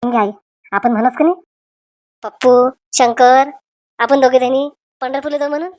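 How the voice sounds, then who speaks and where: A woman voicing boastful, excitable villagers in a comedy cartoon, emphatic, with a rural Vidarbha Marathi accent. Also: high voice, moderate pace, no echo, natural voice. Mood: excited. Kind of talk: comic cartoon voice acting in village dialect.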